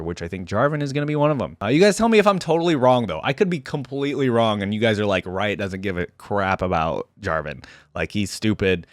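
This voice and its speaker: A man's voice: on the low side, no echo, clean studio sound. A man giving casual, rambling reaction commentary as the host of a video. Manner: chatty, rambling, conversational.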